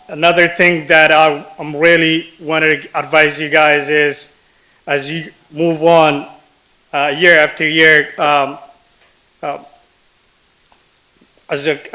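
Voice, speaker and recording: Male guest speaker, bright forceful tone, flat intonation, halting delivery, informal advice to students. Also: fairly high pitch, poor audio.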